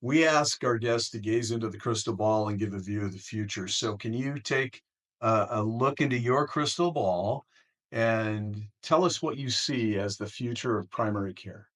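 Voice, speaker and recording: A man's voice: fairly low pitch, moderate pace, a bit bright. A man hosting an interview and putting questions to his guest. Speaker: interview host.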